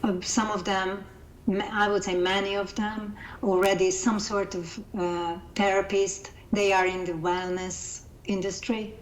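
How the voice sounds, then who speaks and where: A woman's voice: medium pitch, flat delivery, reverberant, a little hiss or hum, low-quality recording. A woman with a Hungarian accent, a native Hungarian speaker, talking earnestly in an interview over a video call, often restarting her sentences. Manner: earnest, halting, with repeated restarts. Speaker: guest, coach and founder.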